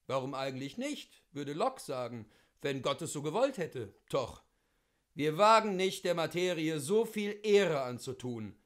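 Male narrator for literary audiobook: high voice, very lively intonation, theatrical declamatory phrasing. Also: measured pace. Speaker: reader of literature. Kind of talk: expressive literary audiobook reading.

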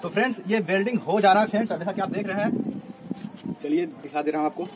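A man's voice: high voice, fast talker. A young man, narrating a casual step-by-step home experiment and chatting to viewers as friends. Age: young adult. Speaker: DIY experiment vlogger. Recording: loud background noise outdoors.

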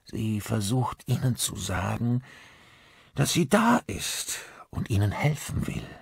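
Guy doing an old eccentric psychic man from a thriller audiobook, raspy, intense, halting, dry close sound. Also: fairly low pitch, sing-song, no room sound, pitched above the performer's own voice, exaggerated voice. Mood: grave warning. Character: aging eccentric rocker medium, solemn and agitated.

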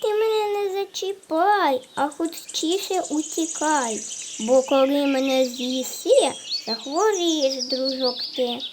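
A woman's voice: high voice, lively intonation, takes it slowly, background noise. A woman voicing a cheerful little round bread bun in a cartoon, chanting rhymes in a sing-song way, with birds chirping behind. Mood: warning. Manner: sing-song rhyming boasts.

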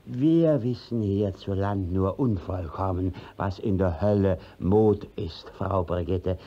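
Sneaky village judge in old radio drama, warm mellow voice, blustery and evasive, dull old sound. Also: male voice, low-pitched, slow, exaggerated voice. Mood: sly. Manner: evasive, mock-official bluster. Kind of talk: 1950s German radio play acting in verse. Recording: dull vintage 1950s radio recording.

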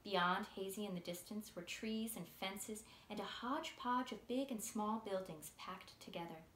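A woman in her thirties reading a story aloud as its author, in a measured, clearly enunciated way. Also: medium pitch, fairly bright tone. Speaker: author reading from own book. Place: small furnished room indoors. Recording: roomy sound with faint noise.

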